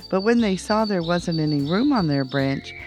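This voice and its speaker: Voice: medium pitch, lively intonation, moderate pace, music in the background. A storyteller reading a children's bedtime story aloud as narrator.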